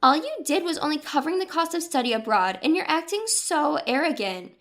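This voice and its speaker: A woman's voice: high-pitched, lively intonation, fairly quick, fairly bright tone, faint music behind. A woman voicing a caring but persistent grandmother in dialogue from a narrated story. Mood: indignant. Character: indignant, caring, persistent grandmother confronting her family.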